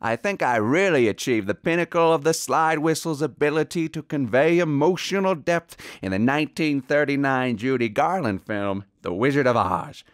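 A man's voice: medium pitch, dry recording, studio quality, natural voice. An actor playing a genteel, self-serious veteran Hollywood sound-effects artist in a comedy mock interview, savouring his words pompously.

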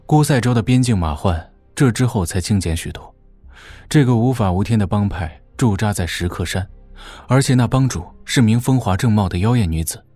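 A man's voice: lowish voice, expressive, normal speed, dark tone, dry recording, soft background music. An actor playing a shrewd, obsequious warlord who reports deferentially and colloquially to his overlord in an audio drama. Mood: shrewd, informative.

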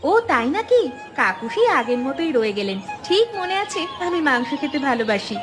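A woman's voice: high-pitched, very animated, normal speed. A woman voicing a cheerful, affectionate young student in a cartoon fairy tale. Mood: warm, chatty. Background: music.

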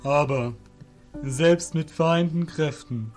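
A man voicing a proud, forceful fairy beast master with a formal, challenging manner in an audio drama. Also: a little high, slow, faint music behind. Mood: sceptical. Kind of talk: amateur audio drama acting.

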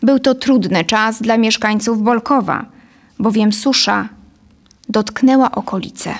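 A woman's voice: a little high, takes it slowly. A woman in her thirties reading a scripted story as narrator, close to a studio microphone. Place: dark small studio, indoors. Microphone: studio condenser on boom, close.

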